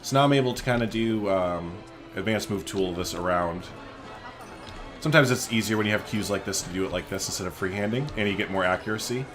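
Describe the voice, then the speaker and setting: A man's voice: fairly low pitch, fast talker, over music. A man giving casual, chatty gameplay commentary as a let's play host, thinking aloud informally as he goes.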